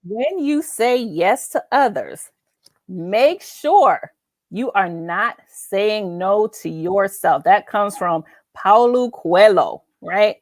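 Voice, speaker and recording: A woman's voice: mid-range voice, lively intonation, slow pace. A woman with a mid-range voice hosts a faith-based conversation. She is emphatic and affirming, often repeating a phrase to drive it home. The sound is dry and close, without room echo. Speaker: talk show host.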